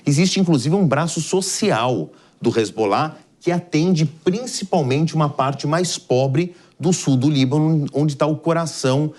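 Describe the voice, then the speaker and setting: A man's voice: fairly high pitch, measured pace, few pauses, darkish tone. Brazilian man explaining the news, didactic and emphatic. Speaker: news analyst. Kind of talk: live explanatory news commentary.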